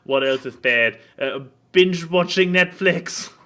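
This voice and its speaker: A man's voice: fairly high pitch, takes it slowly, sharp tone. A man co-hosting a casual podcast over an online voice call, with a jokey, irreverent manner. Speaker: podcast co-host.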